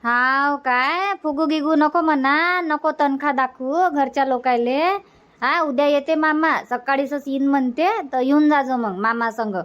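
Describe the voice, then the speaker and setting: A woman's voice: high voice, unhurried, a bit bright, lo-fi sound. A woman voicing a homely older village grandmother in a cartoon comedy, speaking rural Varhadi Marathi. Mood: puzzled, coaxing.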